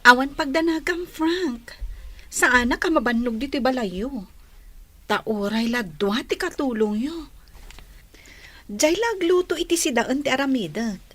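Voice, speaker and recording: Casting: woman as young daughter-in-law, high-pitched, sweet and eager to please, radio play. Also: lively intonation, unhurried, pauses often, fairly bright tone, full character voice. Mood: reassuring. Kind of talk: Ilocano radio drama acting.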